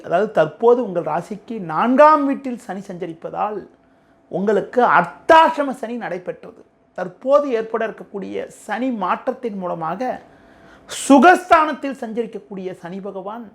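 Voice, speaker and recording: A man with a Tamil Nadu accent presenting an astrology forecast to viewers in a formal, measured, explanatory way. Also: sits high, creaky, fairly bright tone.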